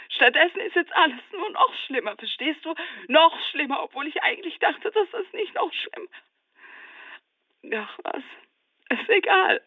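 A woman's voice: sits high, dry recording. An actress playing an embittered, agitated woman in a radio drama, speaking in an emotional, repetitive, coarse way. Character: embittered, agitated woman brooding over shame and regret. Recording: dry, muffled, narrow-band sound.

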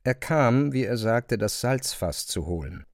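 A man's voice: medium pitch, lively intonation, a little slow, no echo. A man narrating a classic novel as a scripted literary reading, close to a studio microphone.

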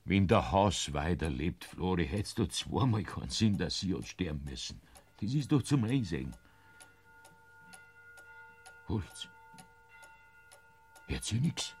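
A grieving old Bavarian farmer. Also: male voice, big pitch swings, darkish tone, slight background noise, heavily acted. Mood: urging. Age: older. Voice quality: husky, deep. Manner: slow, weary, consoling. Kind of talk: classic radio play acting.

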